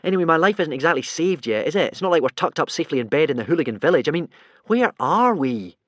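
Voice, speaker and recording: A man voicing a quick-witted young Viking boy who talks sarcastically. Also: fairly high pitch, sing-song, a bit bright, dry recording, voice pushed up. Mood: grumbling. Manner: sarcastic, grumbling.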